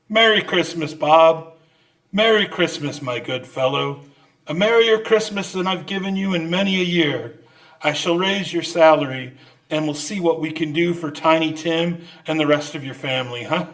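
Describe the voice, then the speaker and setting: A man's voice: fairly high pitch, fairly bright tone. A man playing a reformed old miser in an old-time radio drama, theatrical and exclamatory, swinging between mock sternness and joviality.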